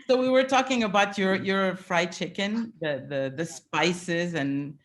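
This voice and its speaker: Effusive woman chatting on a video call. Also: lowish voice. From Switzerland, after Lebanon. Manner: effusive, halting with restarts. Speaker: event host, champagne producer. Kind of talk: casual panel chat on a video call.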